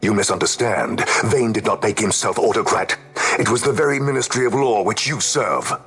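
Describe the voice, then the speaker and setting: A menacing imperial judge from a fantasy game. His low voice declaims sternly and accusingly, with faint music behind. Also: normal speed, a bit bright. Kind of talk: scripted video game cutscene acting.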